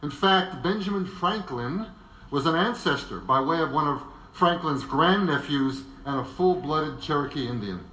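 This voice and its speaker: A man's voice: fairly high pitch, lively intonation, moderate pace, pushed and bright. A man presenting a semi-scripted biographical narration in a conversational way, with wry asides. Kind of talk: semi-scripted narration before a live audience. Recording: echoey live room.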